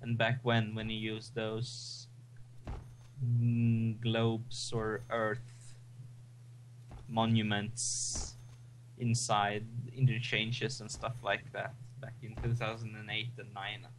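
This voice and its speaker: A man's voice: on the low side, slow, a little hiss or hum, poor audio. A young man from Sweden with a Swedish accent, chatting and rambling casually while playing a game. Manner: rambling, relaxed, with restarts. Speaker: game streamer.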